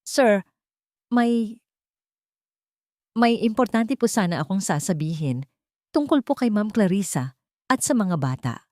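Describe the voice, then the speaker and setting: A woman's voice: medium pitch, very animated, a little slow, pauses often, a little mellow, no echo, studio quality, pitched above the performer's own voice. A woman voicing a deferential young housemaid in an audio drama, hesitant, polite and apologetic. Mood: anxious.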